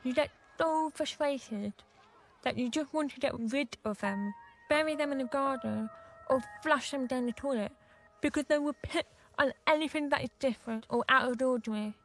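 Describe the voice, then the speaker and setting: An actress playing a frustrated, defiant young deaf woman in a radio drama, plainly confiding and quick to flare up. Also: sits high, slight background noise. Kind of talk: radio drama acting and first-person narration.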